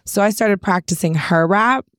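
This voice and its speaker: A young woman with a Canadian accent and a raspy voice, chatting casually as an interview guest in a studio. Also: mid-range voice, moderate pace, a bit bright, no echo. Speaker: interview guest, rapper and songwriter. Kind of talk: casual chat.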